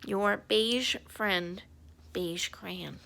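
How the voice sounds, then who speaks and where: A woman voicing a proud but slighted beige crayon in a children's storybook, deliberate and aggrieved. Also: mid-range voice, creaky, bright, forceful tone, exaggerated voice. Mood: sulky, aggrieved. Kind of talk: children's storybook read-aloud.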